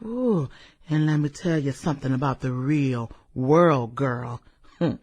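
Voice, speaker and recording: A woman's voice: very deep voice, unhurried, darkish tone. A woman acting in a satirical radio drama as a vain, gossipy former cheerleader, with a sassy, drawling delivery and theatrical exclamations. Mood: boastful.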